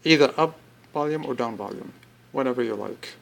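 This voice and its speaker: A man presenting an informal product demonstration, explaining step by step in halting phrases and repeating himself. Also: medium pitch, vocal fry. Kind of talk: informal spoken product demo.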